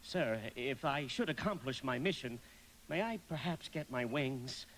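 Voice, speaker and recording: An actor playing an eager, naive, centuries-old apprentice angel, polite and fussy, in a live radio drama. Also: male voice, medium pitch, lively intonation, unhurried, a bit bright, a little hiss or hum, low-quality recording. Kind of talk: live radio play acting.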